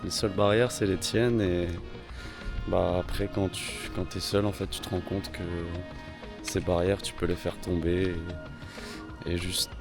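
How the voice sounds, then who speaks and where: A young man from France talks to his camera in a loose, rambling way, full of slang and restarts. His voice sits low and stays fairly flat. Music plays clearly behind him. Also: a little slow. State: slightly hoarse from a cold. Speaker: vlogger. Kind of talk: casual travel vlog talk.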